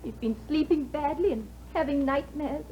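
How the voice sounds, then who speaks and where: An actress playing an anxious young wife who is tense, pleading and often near tears, in a 1950s radio drama. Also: female voice, high voice, moderate pace, warm tone, close to an everyday voice. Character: anxious young wife, fearful for her husband. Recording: dull 1950s radio broadcast with faint hiss.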